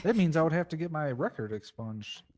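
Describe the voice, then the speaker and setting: Man playing a high-pitched, animated streetwise crook. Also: brisk. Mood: cocky, casual. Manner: slangy, swearing, questioning. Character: brash, incredulous male criminal defendant, streetwise and curious. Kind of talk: improvised online roleplay, casual banter.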